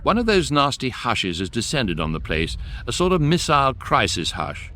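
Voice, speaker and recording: An actor playing a dry, precise guidebook narrator with a British accent, deadpan in a radio comedy. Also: male voice, mid-range voice, normal speed, a little hiss or hum.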